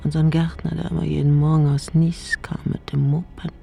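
Elderly mother, radio play, gravelly, mellow, frail, eccentric, nostalgic and imperious. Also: low voice, level tone, exaggerated voice. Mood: dreamy, nostalgic. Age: older. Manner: slurred, drowsy, halting recollection.

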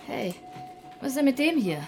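A brisk, take-charge figure in a crime radio play. Her voice is a little high, direct and practical, with music clearly playing behind it. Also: very animated, a little mellow. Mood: focused. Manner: businesslike, direct. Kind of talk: radio drama acting.

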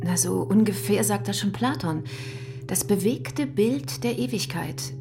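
A woman's voice: mid-range voice, animated, measured pace, vocal fry. An actress playing a worried, sceptical woman in a radio drama, speaking in a sober, restrained, questioning way. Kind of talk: German radio drama acting. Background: music.

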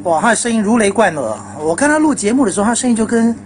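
A woman's voice: fairly high pitch, very animated, very fast, crowd noise. A woman bantering casually and playfully on a radio show, full of exclamations.

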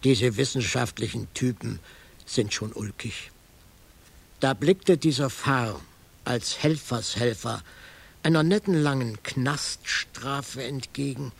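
Slow, gravelly, wry detective. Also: male voice, medium pitch, exaggerated voice. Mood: wry amused narration. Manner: dry, ironic. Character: wry male detective narrating his own case. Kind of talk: hardboiled radio drama narration.

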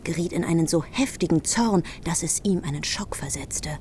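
A woman's voice: on the low side, expressive, normal speed, slight background noise, natural voice. A woman narrating a heroic epic as a composed storyteller in a literary radio drama. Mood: composed, storytelling. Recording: loud music behind the voice.

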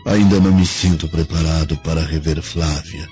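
A man's voice: rumbling low, steady tone, normal speed, mellow. A man playing a remorseful, insecure Roman nobleman in a radio drama, earnest and theatrical. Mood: regretful. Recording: dull lo-fi audio with faint music.

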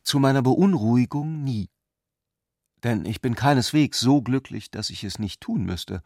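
A courteous, eloquent intellectual with an ironic bent for a literary radio play. His voice sits at a medium pitch and speaks deliberately, with a very dry, close sound. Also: a little mellow, dry recording. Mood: earnestly playful. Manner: eloquent, deliberate, earnestly polite. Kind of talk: German literary radio drama.

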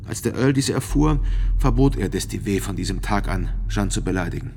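An actor playing a sober physician who gives measured, precise testimony as a witness in a radio drama. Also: male voice, lowish voice, expressive, creaky, a little mellow. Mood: sober, grave.

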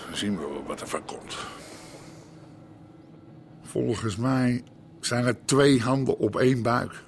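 An actor playing an aggrieved, older old-school crime boss with an Amsterdam accent, blunt and sarcastic, in a radio drama. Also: male voice, medium pitch, big pitch swings, takes it slowly, gravelly, a little hiss or hum, full character voice. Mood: brooding, suspicious. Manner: blunt, sarcastic, grumbling street talk. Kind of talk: naturalistic radio drama acting.

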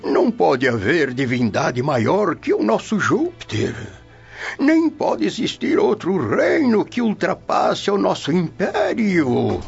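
A man's voice: high voice, wildly swooping pitch, takes it slowly, vocal fry, slightly rough, heavily acted. An actor playing an aged, stern yet affectionate Roman grandfather in a radio soap opera, solemn and disbelieving. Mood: indignant disbelief. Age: older. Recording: dull lo-fi audio with faint music.

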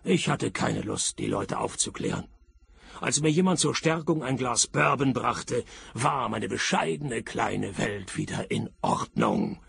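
An actor playing a world-weary, sardonic private detective in a radio play, narrating and talking in a laconic deadpan. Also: male voice, mid-range voice, unhurried, creaky, breathy edge, no echo, heavily acted. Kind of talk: first-person narration and dialogue in radio play.

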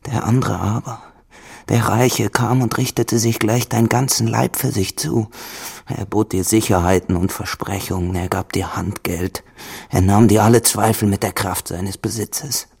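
An actor playing a poor, jealous and possessive farmhand in a German radio drama, with a brooding, insistent and menacing manner and a gravelly, husky voice. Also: male voice, on the low side, steady tone, normal speed, darkish tone. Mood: bitter, pleading.

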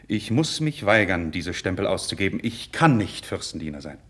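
Idealistic young noble speaking frankly to his king, fairly low voice, earnest formal verse delivery, old radio drama. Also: big pitch swings, moderate pace, a bit bright, full character voice. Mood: impassioned. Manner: declamatory, earnest, formal stage diction. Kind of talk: 1950s German radio drama, classical verse acting.

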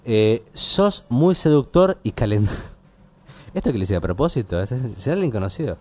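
Dark-voiced Argentine man hosting late-night radio. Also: medium pitch, very animated. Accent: Buenos Aires Argentine. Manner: halting, rambling explanations. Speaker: radio host. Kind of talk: casual live late-night radio talk.

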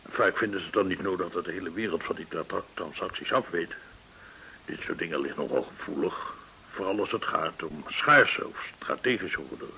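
An actor playing a courteous, shrewd, well-connected informant in a Dutch radio drama, speaking in a formal, deliberate way. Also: male voice, sits low, pushed and bright, rough recording. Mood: measured explaining. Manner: formal, measured, deliberate explaining. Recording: hissy old radio recording.

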